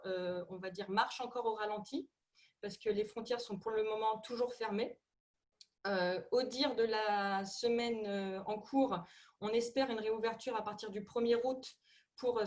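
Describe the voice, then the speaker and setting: Hesitant Parisian woman presenter. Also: a little high. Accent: Parisian French. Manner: hesitant, many fillers and repeated words. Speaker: tourism ministry representative. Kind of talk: video call presentation.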